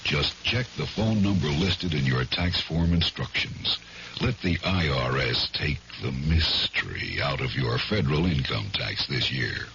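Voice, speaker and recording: A man's voice: extremely low pitch, animated, measured pace, sharp tone. A man reading a portentous scripted public service announcement on the radio. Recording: muffled, noisy vintage radio broadcast.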